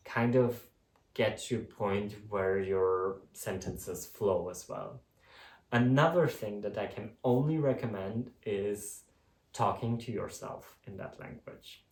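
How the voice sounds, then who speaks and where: Roomy, distant low-voiced man vlogging. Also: a little mellow. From Poland. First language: Polish. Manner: chatty, many restarts and fillers. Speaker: expat vlogger. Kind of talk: casual talk to camera.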